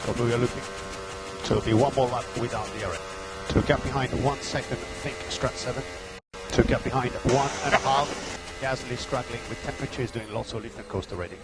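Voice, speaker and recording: A man's voice: mid-range voice, fairly even intonation, fairly quick, low-quality recording. A man race engineer reading out gaps, lap times and instructions to his driver over team radio. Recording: team radio with music behind.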